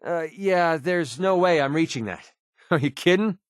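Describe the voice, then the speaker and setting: A man's voice: fairly high pitch, animated, a little slow, dry recording, clean studio sound. A man playing a sardonic but loyal young mercenary companion in a video game, with a casual, wry delivery. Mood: exasperated, sarcastic.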